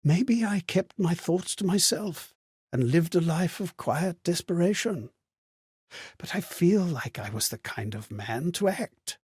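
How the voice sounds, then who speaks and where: Casting: a wistful, memory-lost ghostly knight for a fantasy game; raised pitch, husky, solemn and old-fashioned, very dry sound. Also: male voice, expressive, a little slow, darkish tone, dry recording, full character voice. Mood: troubled, brooding. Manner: solemn, archaic, ponderous phrasing. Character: haunted, amnesiac former Templar, wistful ghostly man. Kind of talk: dramatic video game character monologue.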